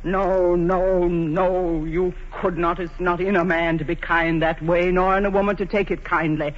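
A woman's voice: lowish voice, flat delivery, unhurried. An aging English queen with commanding, theatrical dignity and a vulnerable heart. She speaks in the grand manner of 1940s radio theatre. The broadcast is vintage and dull in tone. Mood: tender, pleading. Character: proud, aging English queen, imperious yet vulnerable in love.

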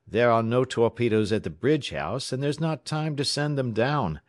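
A man's voice: mid-range voice, moderate pace, no echo, studio quality, pitched above the performer's own voice, close to an everyday voice. A man voicing a shaken railway chief dispatcher, grave and deferential, in an audiobook story. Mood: grim, anxious. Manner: strained, deferential.

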